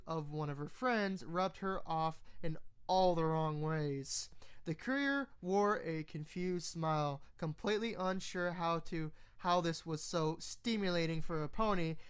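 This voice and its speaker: A woman reading a story as narrator, a little halting, stumbling over some words. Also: on the low side, clear, resonant tone. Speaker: fan fiction reader.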